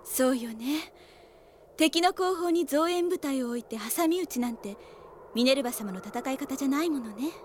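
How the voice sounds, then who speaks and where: A woman's voice: sits high, expressive, moderate pace. An actress playing a tender, polite young woman knight, the caring older sister, in a radio drama. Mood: concerned. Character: gentle, soft-spoken older-sister female knight. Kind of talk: Japanese radio drama acting. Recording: faint ambient noise.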